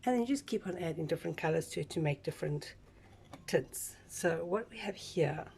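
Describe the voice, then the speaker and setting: A woman with a slightly croaky voice, giving a chatty, relaxed step-by-step craft tutorial with small asides. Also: fairly low pitch, brisk, a little hiss or hum. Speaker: craft instructor.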